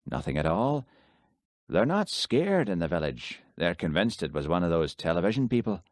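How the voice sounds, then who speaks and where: A man's voice: sits low, very animated, normal speed, dry recording, studio quality. A man reading an audiobook, voicing a village neighbour who reports events in a matter-of-fact way. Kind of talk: audiobook character voice.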